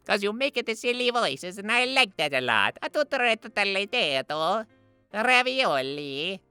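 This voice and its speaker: A cheery cartoon Italian plumber with a high, bright voice. The mock Italian accent is bouncy and silly, very animated, over background music. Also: male voice, unhurried, clean tone, no echo, full character voice. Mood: silly, gleeful. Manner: exuberant, silly. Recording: music behind the voice.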